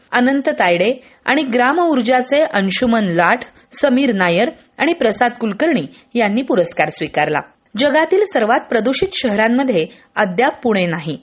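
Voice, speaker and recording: A woman newsreader with a high voice and very animated intonation delivers scripted bulletins formally and with measured phrasing. The sound is slightly dull, like a lo-fi radio broadcast. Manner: formal, measured news reading. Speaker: radio news presenter.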